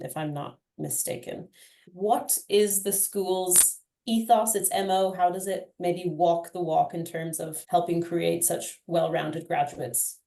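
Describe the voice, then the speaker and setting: A woman hosting a podcast interview, asking questions with warm enthusiasm. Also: fairly low pitch, gravelly, echoey room, rough recording. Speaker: podcast host.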